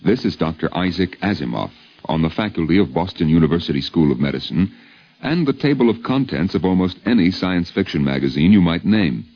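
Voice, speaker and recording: A man's voice: low-pitched, lively intonation, fairly quick. A man narrating a scripted 1950s radio documentary in a polished, formal style with a wry literary turn. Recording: dull lo-fi 1950s radio recording.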